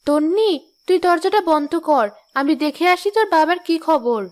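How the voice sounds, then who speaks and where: A woman's voice: extremely high pitch, lively intonation, normal speed, a little mellow. A woman voicing a caring cartoon mother. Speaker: narrator and mother.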